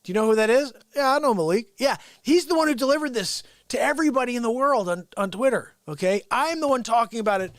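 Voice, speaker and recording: A man's voice: very high-pitched, lively intonation, fast talker, hardly any pauses, no echo, studio quality. A man hosting an unscripted livestream, talking in a rambling, insistent and repetitive way. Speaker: livestream show host.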